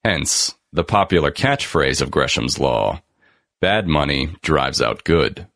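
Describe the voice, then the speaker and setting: Deep voiced guy narrating an article, formal and deliberate, really dry close sound. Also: lively intonation, a bit bright, no room sound. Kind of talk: scripted narration, reading an economic history article.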